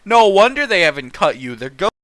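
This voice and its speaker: Clear, bright-voiced man, sarcastic and sweary. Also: high voice, very animated, brisk, no room sound. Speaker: host reading fan fiction. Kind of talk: casual mocking read-aloud with commentary.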